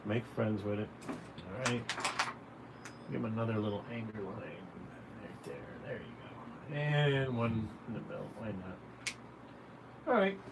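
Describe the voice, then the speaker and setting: Man, medium pitch, casual tutorial host, encouraging, folksy, clear background noise. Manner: encouraging, folksy, addressing viewers directly. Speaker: caricature artist hosting a drawing livestream. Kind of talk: casual drawing tutorial chat. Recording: clearly noisy room ambience.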